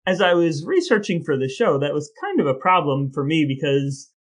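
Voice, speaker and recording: A man's voice: on the high side, sing-song, normal speed. A man co-hosting a podcast, chatting casually about trivia and research in a wry, playful, rambling way. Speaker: podcast co-host.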